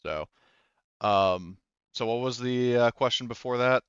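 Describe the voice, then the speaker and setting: Guy game mastering, rambles and thinks out loud, smooth low voice, kinda dull mic. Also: no echo. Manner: halting, rambling, thinking aloud. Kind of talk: improvised narration of a tabletop roleplaying game.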